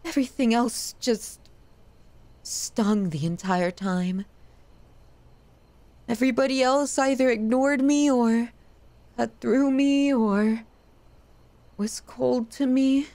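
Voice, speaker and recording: Woman voicing a young yandere lover, high voice, very slow pace, obsessive, self-loathing, halting and emotional, intimate audio roleplay. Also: mellow, no room sound. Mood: tender, melancholy. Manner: emotional, halting, pleading delivery. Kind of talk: intimate ASMR roleplay acting.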